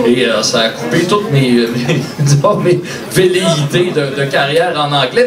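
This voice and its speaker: A middle-aged man from Quebec with a Québécois French accent, a singer joking with a bar crowd between songs in a self-mocking, halting way with fillers. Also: a little high. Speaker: singer-songwriter performing a live show. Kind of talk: live stage banter between songs. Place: bar stage with a live audience. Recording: echoey live bar recording from far off.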